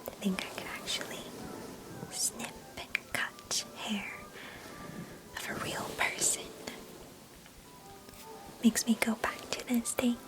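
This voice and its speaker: A woman's voice: a little high, fairly even intonation, slow, pauses often, music in the background, poor audio. A woman playing a chatty, doting young cousin in an ASMR roleplay, whispering breathily with playful grandeur. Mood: doting, whimsical.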